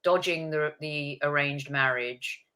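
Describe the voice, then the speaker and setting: A woman's voice: low-pitched, slow, pushed and bright. A woman hosting an interview, asking long, winding, empathetic questions. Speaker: podcast host. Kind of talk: conversational interview.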